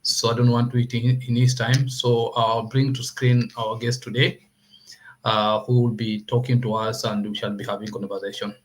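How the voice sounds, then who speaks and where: A man with a Kenyan accent hosting an informal online interview, speaking haltingly and often repeating himself. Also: mid-range voice, level tone. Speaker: host of an online talk series.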